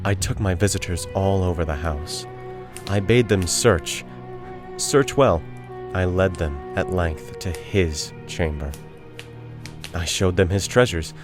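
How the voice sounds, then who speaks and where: A man voicing a guilt-crazed murderer in a theatrical, deliberate dramatic reading of a gothic horror story. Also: sits low. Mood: smug confidence. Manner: theatrical, deliberate phrasing with drawn-out words. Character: guilt-crazed murderer, feverishly confident, unraveling into frantic confession.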